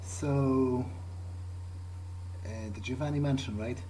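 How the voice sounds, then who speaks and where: A man improvising an in-character video game playthrough, speaking haltingly as he thinks aloud. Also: medium pitch, fairly even intonation, dark tone, a little hiss or hum, low-quality recording.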